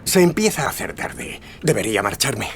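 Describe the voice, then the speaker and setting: A man playing an outwardly kindly older man with sinister intent in an audio drama, courteous, coaxing and soft-spoken. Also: mid-range voice, very animated, normal speed, background noise. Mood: courteous, persuasive.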